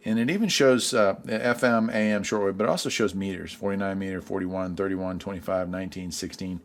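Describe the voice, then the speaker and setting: A man's voice: deep voice, a little fast. A man giving a casual product review in a folksy, easygoing way.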